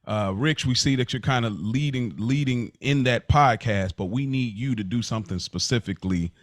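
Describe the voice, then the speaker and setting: A man's voice: medium pitch, moderate pace, dry recording. A man hosting a casual podcast chat, inquisitive, talking his way through hypothetical questions for his guests. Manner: inquisitive, poses hypothetical scenarios. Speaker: podcast host.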